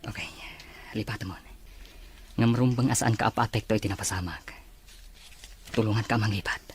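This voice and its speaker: A man plays a devoted, patient suitor in a Filipino romantic drama. His voice is fairly low and he speaks as someone consoling. Faint ambient noise sits behind him. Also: steady tone, moderate pace, lightly acted. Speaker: actor in a family drama. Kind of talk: naturalistic romantic drama dialogue.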